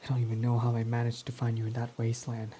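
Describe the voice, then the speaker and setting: A guarded, foul-mouthed villain who is secretly devoted, as a young man plays him for an ASMR roleplay. His voice sits warm and mellow and close to the microphone, talking in an intimate, confessional way. A soft patter of rain runs faintly behind him. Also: mid-range voice, completely flat delivery, fast talker, slight background noise, natural voice. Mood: bitter grief. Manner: intimate and confessional, swearing freely. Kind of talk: ASMR roleplay audio drama.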